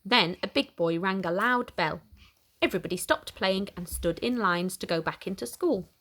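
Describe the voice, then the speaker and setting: A woman reading a children's picture book aloud in an animated, expressive way for young listeners. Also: mid-range voice, moderate pace. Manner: animated, simple questioning aimed at small children. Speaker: children's story reader.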